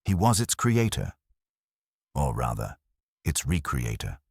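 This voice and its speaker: A man voicing a keen, brooding master detective in an audio story, explaining in a deliberate, theatrical manner. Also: extremely low pitch, very animated, gravelly, breathy edge, no echo, clean studio sound, exaggerated voice. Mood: dramatic, assured.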